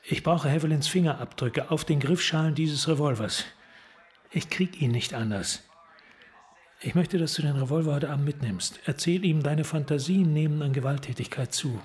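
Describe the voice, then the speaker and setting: A driven, calculating police detective in a radio play, played by a man. His voice sits fairly high for a man, and he gives terse, commanding instructions. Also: normal speed, a little mellow, close to an everyday voice. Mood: urgent, controlled. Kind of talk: radio drama acting.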